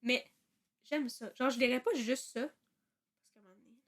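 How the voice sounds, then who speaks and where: A young woman with a Quebec French accent, co-hosting a podcast in relaxed, slangy talk. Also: sits high, moderate pace. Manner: relaxed, slangy, with fillers like "genre" and "comme". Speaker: podcast co-host. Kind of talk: casual chat.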